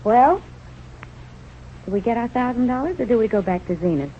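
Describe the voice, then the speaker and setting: An actress playing a plucky, devoted young wife with frank, stubborn talk in a vintage radio drama. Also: female voice, on the high side, lively intonation, moderate pace, natural voice. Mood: firm, insistent. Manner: frank, firm, plain small-town talk. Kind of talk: 1930s radio drama acting. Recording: tinny, noisy old radio recording.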